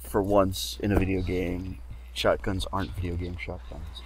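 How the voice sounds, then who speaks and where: A man's voice: low voice, level tone, moderate pace, a little mellow. A young man chiming in casually as a co-commentator, laid-back and mostly agreeing.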